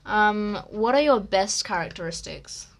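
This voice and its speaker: A woman's voice: mid-range voice, animated, measured pace. A teenage girl with an Egyptian accent, co-hosting a casual podcast in a chatty, teasing way. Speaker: podcast co-host. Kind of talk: casual chat.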